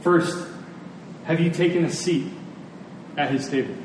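Echoey, higher-voiced man preaching a sermon. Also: fairly even intonation. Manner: earnest, deliberate, repeats phrases for emphasis. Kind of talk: sermon to a congregation. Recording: very echoey room, distant mic, faint noise.

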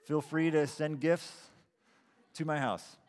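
A man's voice: fairly high pitch, steady tone, slow pace. A man of about forty giving a casual, self-deprecating sermon as pastor, with frequent restarts. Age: 40s. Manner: conversational, self-deprecating preaching with repeated starts. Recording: long hall reverb.

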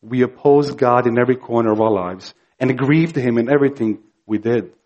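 A man from Croatia with a Croatian accent, preaching a sermon earnestly and emphatically, slow and building to fervor. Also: mid-range voice, darkish tone, dull audio. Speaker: pastor.

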